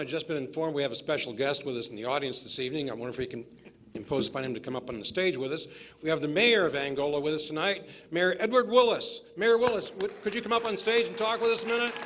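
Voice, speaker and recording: A man's voice: on the high side, fairly bright tone, close to an everyday voice. A man playing a showy 1940s radio announcer, recorded live on stage with faint noise and an audience behind him. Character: showy 1940s male radio host and newsreader. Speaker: radio show announcer and interviewer.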